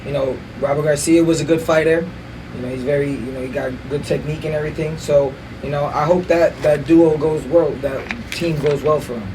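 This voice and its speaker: A man's voice: a little high, steady tone, brisk, distant mic, noisy background. A man co-hosting a podcast, talking enthusiastically and hyping people up. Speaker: podcast co-host. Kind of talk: casual boxing podcast talk.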